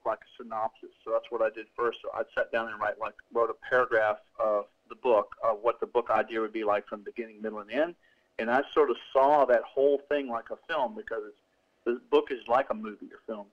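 A man's voice: mid-range voice, dull audio. A middle-aged male author talking casually in an interview, rambling with many restarts and fillers. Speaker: author guest.

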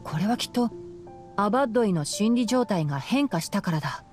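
A woman voicing a youthful, analytical male scholar in an anime game, calm, boyish and matter-of-fact. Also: medium pitch, a little fast, soft background music, close to an everyday voice. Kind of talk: anime game voice acting, explanatory dialogue.

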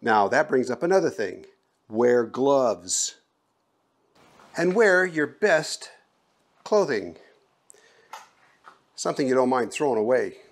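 A man's voice: medium pitch, sing-song, slow, long pauses. A middle-aged man talks through a hands-on DIY project in plain, folksy terms. He sounds like he is working in an open garage, picked up by the camera's own mic. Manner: folksy, plain-spoken instructions. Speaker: DIY vlogger. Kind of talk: casual how-to narration. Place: open garage, then outdoors on a roof.

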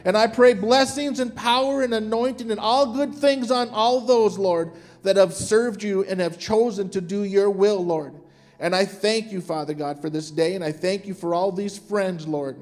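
A high-pitched man prays aloud with fervent conviction, punctuating nearly every phrase with "Lord" or "Father God." Soft music plays faintly underneath. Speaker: church member leading prayer. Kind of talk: spoken public prayer.

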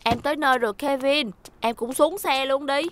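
A woman's voice: high voice, a little fast, background noise, natural voice. A woman dubbing a young, fretful but kindly cartoon school bus who pleads politely with children. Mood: concerned. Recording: studio dub with music behind.

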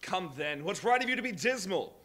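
A man's voice: high-pitched, lively intonation, brisk, pushed and bright. A man playing an earnest cheerful nephew. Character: cheerful warm-hearted young nephew. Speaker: narrator and nephew. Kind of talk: live stage reading. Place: large hall with audience.

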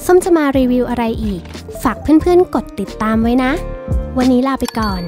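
A woman with a high, bright-toned voice narrates a travel vlog in a cheerful, friendly and polite way. Music plays clearly behind her throughout. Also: lively intonation, moderate pace. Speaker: vlog host reviewing a cafe. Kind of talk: scripted travel voice-over. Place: voice-over, not recorded on location. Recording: voice-over with clear background music. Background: background music.

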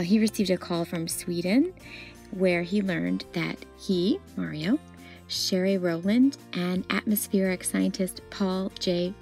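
A woman storyteller reading a children's picture book aloud expressively, with deliberate phrasing and dramatic pauses. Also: medium pitch, gravelly, warm tone. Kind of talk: expressive read-aloud narration.

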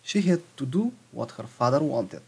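A man reading a fairy tale aloud for children as a storyteller, halting at times and rereading words. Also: mid-range voice, big pitch swings, vocal fry, slightly rough.

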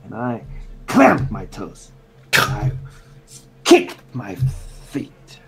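A man's voice: lowish voice, huge pitch swings, drawn-out words, vocal fry, fairly bright tone. A young man streaming game development, joking around in casual chat from a small room, a little music behind. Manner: playful, teasing, jokey rambling.

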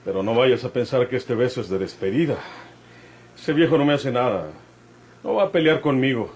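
A Mexican actor playing a tough, self-assured bandit in a classic radio soap, husky and rustic in his speech. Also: medium pitch, lively intonation, brisk, background noise, lightly acted. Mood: reassuring. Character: confident, tough male bandit, fond of his woman.

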